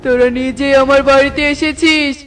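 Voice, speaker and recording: A man voicing a menacing, gloating eagle in a children's cartoon, taunting with drawn-out words and cackles. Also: very high-pitched, flat delivery, fairly bright tone. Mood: gloating menace. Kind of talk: children's cartoon villain acting. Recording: music behind the voice.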